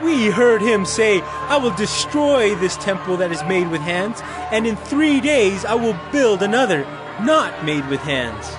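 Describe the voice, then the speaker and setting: Strident, high-pitched male accuser. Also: sing-song, unhurried. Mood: accusing. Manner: loud, declamatory. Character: strident false witness accusing at a trial. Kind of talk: dramatized scripture audio drama. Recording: dramatic music and crowd behind.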